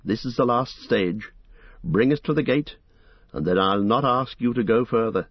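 A man voicing a gentle young leader speaking calmly and earnestly in an audiobook. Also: mid-range voice, normal speed, breathy edge, no room sound, lightly acted. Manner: calm, earnest. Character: gentle young male leader, calm and kind. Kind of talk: audiobook character voice. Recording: dull lo-fi recording.

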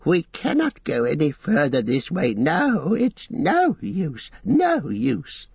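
A high, whining wretched creature that fawns and cringes, talking of itself in the third person. The voice is husky and slowed, drawn out in a dry, dull lo-fi recording. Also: male voice, huge pitch swings, dry recording, heavily acted. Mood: whimpering. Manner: wheedling, whimpering, talks of himself in third person. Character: wretched creature, split-minded, greedy, fawning and fearful. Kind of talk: audiobook character voice.

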